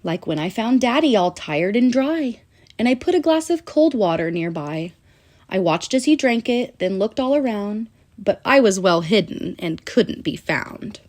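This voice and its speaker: Animated woman reading a children's storybook. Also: mid-range voice. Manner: animated, sing-song storytelling with deliberate pauses. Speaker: children's book narrator. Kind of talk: expressive read-aloud of a rhyming children's picture book.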